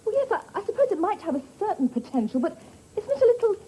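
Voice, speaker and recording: A woman's voice: very high voice, very animated, quick pace, dark tone, rough recording. A woman playing a sitcom role, talking in a fussy, prim and exasperated way. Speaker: sitcom supporting character. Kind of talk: 1980s British sitcom acting. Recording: studio audience laughter and noise.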